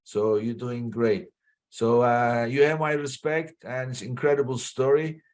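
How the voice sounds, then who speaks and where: A male podcast host asks his questions and thanks his guest in a measured, encouraging manner. His voice sits at an ordinary middle pitch, and he keeps an unhurried, conversational pace. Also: fairly even intonation. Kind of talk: interview hosting.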